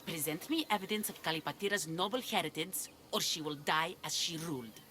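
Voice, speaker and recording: A woman's voice: sits low, animated, a little fast, bright, forceful tone, slight background noise. A woman playing a haughty, severe ancient Greek priestess in a video game, speaking formally. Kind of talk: video game character acting.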